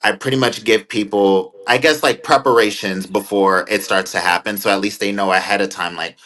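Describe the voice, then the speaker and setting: A man's voice: fairly low pitch, steady tone, brisk, sharp tone. A man in his twenties talking casually as an interview guest, rambling and thoughtful with many fillers. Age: 20s. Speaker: guest content creator.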